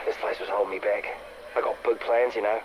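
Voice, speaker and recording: An actor playing a cocky, boastful worker who talks in a brash, taunting way. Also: male voice, fairly low pitch, steady tone, moderate pace, lightly acted. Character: cocky, boastful male worker itching to leave. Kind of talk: acted game dialogue. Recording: faintly noisy, radio-like recording.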